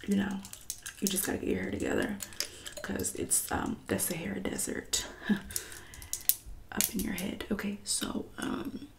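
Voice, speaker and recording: A woman in her twenties doing an ASMR roleplay as a catty hairdresser friend, soft-spoken and often whispering, with condescending, passive-aggressive delivery. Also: mid-range voice, very animated, gravelly, background noise. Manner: condescending, passive-aggressive, drawn-out backhanded remarks.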